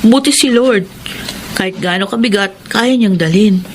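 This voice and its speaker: A woman's voice: mid-range voice, moderate pace, slight background noise, lightly acted. A woman playing a hardworking, devout young working student in a Filipino radio drama, earnest and polite. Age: young adult.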